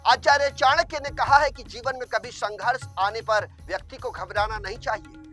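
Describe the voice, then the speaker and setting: A man's voice: extremely high pitch, normal speed. A man reading a scripted news bulletin as anchor, formal and emphatic. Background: music bed.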